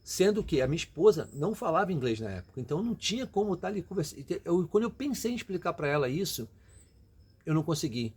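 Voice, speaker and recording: A man's voice: fairly high pitch, sing-song, fast, a little mellow, slightly rough. A Brazilian man giving emphatic, motivational advice directly to his viewers in a casual way. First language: Portuguese. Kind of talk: motivational casual talk to camera.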